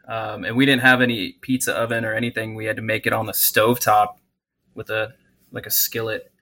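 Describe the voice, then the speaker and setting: A man's voice: on the low side, flat delivery, fairly quick, creaky, sharp tone. A man who co-owns a food truck, answering interview questions casually in a rambling, hedging way.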